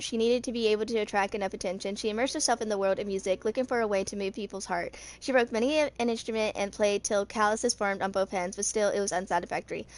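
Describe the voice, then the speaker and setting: A woman in her twenties reading written game lore aloud in an amateur narration, haltingly, stumbling over words and rereading them. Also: medium pitch. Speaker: video creator reading game character lore.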